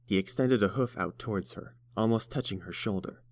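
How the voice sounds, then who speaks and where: A man's voice: fairly low pitch, fairly quick, no echo, clean studio sound. A man reading scripted third-person narration for a fantasy story.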